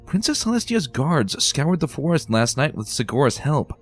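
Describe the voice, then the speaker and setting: A bookish young unicorn mare, earnest and a little anxious, done by a man in a raised voice. Her lines leap up high and swing widely as she insists. Soft music plays faintly under this fan storybook reading. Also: sing-song, moderate pace. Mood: insistent. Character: bookish determined young female unicorn pony.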